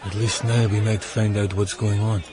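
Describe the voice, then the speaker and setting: An actor playing a bossy, sarcastic Roman soldier in a radio drama. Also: male voice, on the low side, little pitch movement, moderate pace, crowd noise, lightly acted. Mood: amused. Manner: sardonic.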